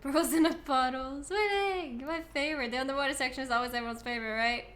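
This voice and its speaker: A woman's voice: sits high, fast, fairly bright tone. A young Norwegian woman with a Norwegian accent streaming a video game, chatting casually and answering chat, a little self-deprecating. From Norway.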